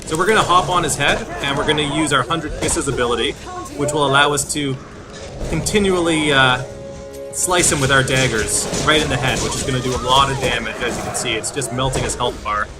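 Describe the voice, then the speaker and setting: A man commentates on a video game, explaining things as he plays. His phrasing is a little halting, with words repeated now and then, and his pitch sits in an ordinary male middle range. Music plays clearly behind him. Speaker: gameplay host.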